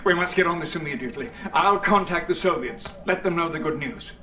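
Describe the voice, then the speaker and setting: An actor playing a commanding, self-righteous military leader in a video game cutscene, with an emphatic, declamatory delivery. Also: male voice, fairly high pitch, brisk, bright, forceful tone, far from the mic, a little hiss or hum. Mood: eager, resolute.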